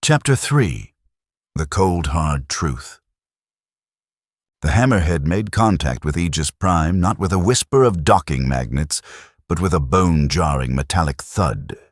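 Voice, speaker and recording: A man's voice: a bass voice, expressive, unhurried, no echo, studio quality. A man narrating a science fiction audiobook with dramatic storytelling delivery. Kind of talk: scripted sci-fi narration.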